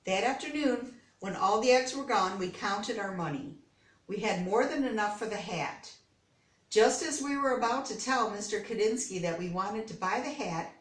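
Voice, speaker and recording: A woman reading a children's story aloud, pausing to explain words and pictures as she goes. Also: mid-range voice. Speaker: storybook reader. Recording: echoey room, distant microphone.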